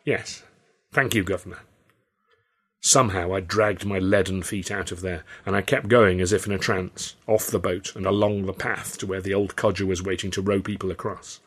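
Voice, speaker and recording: A man's voice: deep voice, flat delivery, a little fast, hardly any pauses, breathy edge. A man with an English accent narrating a first-person story from a script in a wry, literary style. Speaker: author and audiobook narrator.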